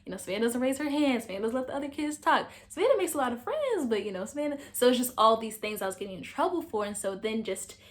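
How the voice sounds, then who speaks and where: A young woman hosting a casual book review, talkative and animated, projecting a loud voice. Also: a little high, quick pace, few pauses. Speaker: book reviewer, channel host. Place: indoors, sitting against a wall.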